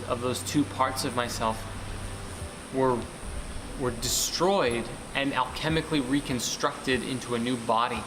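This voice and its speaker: A man earnestly describing his vision, pausing to search for words and repeating himself as he goes. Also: medium pitch. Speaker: ceremony participant. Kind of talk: personal testimony. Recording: roomy reverb with background noise.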